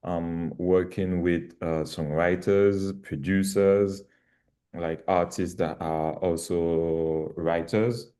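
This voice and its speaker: Deep, flat, slow-talking man. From Guadeloupe. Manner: calm, measured, slight hesitations. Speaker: panelist, A&R. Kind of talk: conference panel talk.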